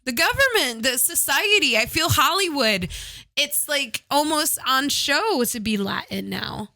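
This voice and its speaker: A woman guest in casual podcast chat, a little tipsy, animated and swearing, laughing often. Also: high-pitched, a little slow, creaky, no room sound. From United States.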